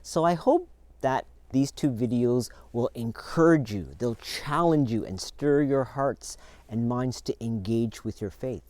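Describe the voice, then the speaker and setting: A man hosting a church video, speaking earnestly and in a measured way to his congregation. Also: mid-range voice, a little mellow, dry recording.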